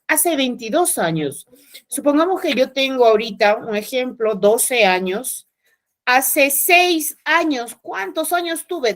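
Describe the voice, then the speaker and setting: Woman teaching math online. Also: a little high. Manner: explains step by step, asks "¿verdad?" often.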